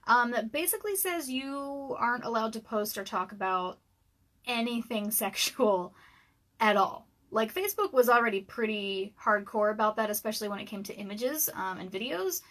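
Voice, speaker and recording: A woman in her thirties with a scratchy voice, rambling casually on a livestream from her dark room at home, with lots of ums and little laughs. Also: a little high, animated, moderate pace. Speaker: author and livestream host. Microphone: webcam livestream.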